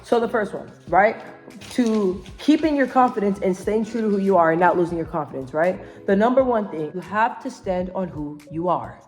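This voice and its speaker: A woman's voice: mid-range voice, lively intonation, normal speed, a little mellow, faint music behind. A woman giving a casual motivational talk to camera, assertive and emphatic, repeating key phrases and often checking for agreement. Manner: emphatic, repeats phrases, constant tag questions seeking agreement; assertive, self-assured. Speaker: vlogger giving self-help advice.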